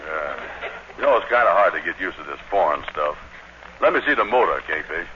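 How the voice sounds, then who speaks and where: Gullible dim radio fellow, noisy recording. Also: male voice, sits low, lively intonation, pushed and bright, lo-fi sound. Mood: doubtful, trusting. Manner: slow on the uptake, mumbling, doubtful. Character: gullible, slow-witted male lodge member buying a car. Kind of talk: 1940s radio comedy acting.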